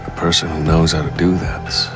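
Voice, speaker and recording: An actor playing a calm, resolute young male hunter in a game, speaking in a measured, serious way. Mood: brooding, resolved. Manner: measured, low-key, serious. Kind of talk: dramatic game voice acting, inner monologue and dialogue. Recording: loud background music under the voice.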